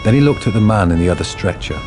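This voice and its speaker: A man playing the narrator of a crime radio drama, delivering scripted story in a measured, deadpan manner. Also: fairly low pitch, music in the background, lightly acted. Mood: grave.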